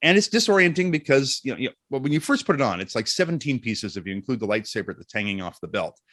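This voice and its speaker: A middle-aged man chatting casually as an interview guest, telling jokey anecdotes in an animated way. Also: medium pitch, quick pace, dry recording, clean studio sound.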